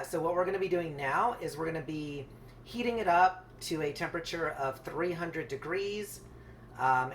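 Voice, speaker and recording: A home baker talks through a project in a casual, rambling way, unscripted and hedging along the way. The voice sits at a middling pitch, and clear background noise runs through the homemade recording. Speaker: home baker hosting a craft project video. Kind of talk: casual unscripted how-to narration.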